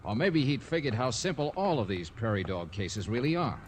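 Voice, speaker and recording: An actor playing a tough, brash private detective in a 1940s radio drama, with brusque, clipped hardboiled delivery. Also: male voice, mid-range voice, very animated, brisk, natural voice. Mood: deliberate, pressing. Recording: noisy old-time radio recording.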